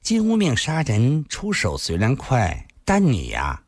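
Man putting on a coy, sly seductress with breathy giggles. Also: mid-range voice, huge pitch swings, a little slow, no echo, studio quality, exaggerated voice. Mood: flattering, teasing.

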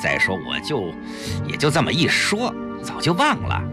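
A man's voice: medium pitch, wildly swooping pitch, normal speed, over music. A man voicing a spirited, crafty young wife in a dramatic audiobook, with a theatrical, exclamatory manner. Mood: feigned innocence.

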